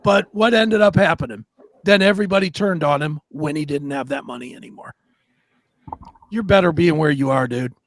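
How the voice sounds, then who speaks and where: A man's voice: a little high, moderate pace. A man co-hosting a talk show in a casual, profane way, blunt and opinionated. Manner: blunt, opinionated, swears freely.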